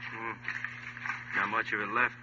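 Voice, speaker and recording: An actor playing a blunt, commanding, no-nonsense police captain in a vintage radio drama. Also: male voice, on the low side, flat delivery, measured pace, close to an everyday voice. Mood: brusque, commanding. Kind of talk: 1950s radio drama acting. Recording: muffled old radio recording, heavy noise.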